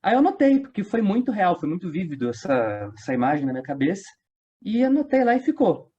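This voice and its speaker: São guy telling stories, slangy, voice a bit high, dull lo-fi sound. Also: huge pitch swings, fast, mellow, husky. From São Paulo state, Brazil. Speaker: interview guest, audio drama creator. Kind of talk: casual storytelling. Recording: dull lo-fi online interview audio.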